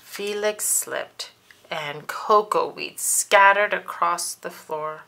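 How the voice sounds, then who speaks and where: A woman reading a children's story aloud in an expressive, dramatic and deliberate narration style. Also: on the low side, vocal fry. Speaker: storybook reader.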